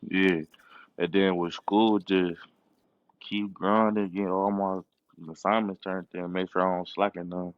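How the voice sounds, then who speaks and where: A young college football player from Cleveland speaking plainly in short phrases. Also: male voice, deep voice, level tone, a little slow, dry recording, dull audio. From Cleveland, Ohio. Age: young adult. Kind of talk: casual podcast chat.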